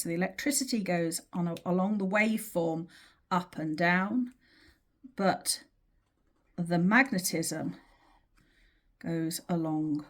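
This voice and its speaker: A woman teaching in an informal, explanatory way, with measured, emphatic phrasing and pauses as she talks through a drawing. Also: lowish voice. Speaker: teacher of earth energy dowsing.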